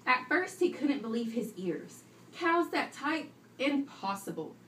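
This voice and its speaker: A woman teacher with a fairly high, very animated voice reads to young children and prompts them with questions. She speaks in an instructive way and is heard from a distance in an echoey classroom. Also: unhurried. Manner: instructive, prompting and repeating pupils' answers. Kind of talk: classroom read-aloud with questions to pupils. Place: indoor classroom, recorded from a distance. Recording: roomy, distant classroom recording with faint noise.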